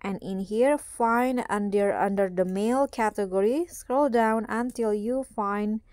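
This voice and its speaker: A woman's voice: medium pitch, measured pace, a little mellow, no echo, clean studio sound. A woman hosting a step-by-step phone app tutorial in a casual, instructional style. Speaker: tutorial host.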